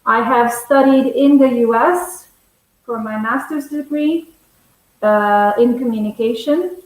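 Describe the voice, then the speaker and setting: A young bilingual English and Italian woman from Italy, teaching in a conversational, measured, explanatory way on a video call. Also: fairly high pitch. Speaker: English teacher, webinar host. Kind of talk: conversational online lesson. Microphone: earphone headset on video call. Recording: very echoey room, video call.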